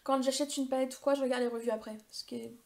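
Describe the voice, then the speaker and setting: A woman's voice: high voice, fairly even intonation, fast talker, creaky, a little mellow. A woman in her thirties answering viewer questions in a casual vlog, chatty and rambling, with many hesitations and self-mocking humor. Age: 30s. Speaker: beauty YouTuber.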